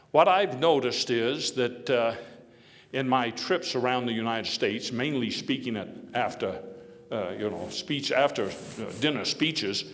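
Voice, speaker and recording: Man portraying genial old statesman, halting storyteller, folksy and wry, live speech, long hall reverb, faint background noise. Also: medium pitch. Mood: genial, self-deprecating. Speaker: historical reenactor giving a speech. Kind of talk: live after-dinner speech with anecdotes. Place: large indoor hall before an audience. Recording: echoey hall, noisy live recording.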